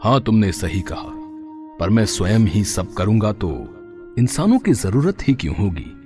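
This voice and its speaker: Cartoon god, male actor: kindly, solemn, unhurried, expressive. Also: lowish voice, over music. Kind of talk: Hindi mythological moral story voice acting.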